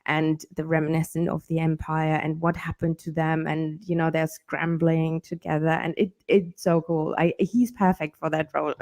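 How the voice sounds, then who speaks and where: A woman's voice: low-pitched, flat delivery, normal speed, hardly any pauses, a little mellow. A German woman co-hosting a casual fan podcast, talking enthusiastically and rambling with lots of fillers. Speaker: podcast co-host. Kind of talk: casual chat.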